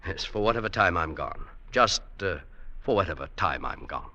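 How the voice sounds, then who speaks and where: A man's voice: low voice, animated, normal speed, highs cut off. An actor playing a world-weary, secretive widowed businessman, brooding and hesitant, in old-time radio drama style. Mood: restless, resolved.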